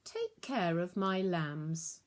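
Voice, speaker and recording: Storybook part: kindly, encouraging male teacher played by a female reader, slow, deliberate, gentle instruction. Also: lowish voice, expressive. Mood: gentle, solemn.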